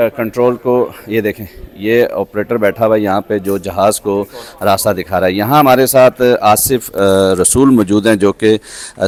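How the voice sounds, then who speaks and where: A male journalist with a Pakistani accent reports and interviews on location. His voice sits a little low, and he speaks haltingly, with frequent hesitations as he builds his sentences. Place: on location in an air traffic control centre.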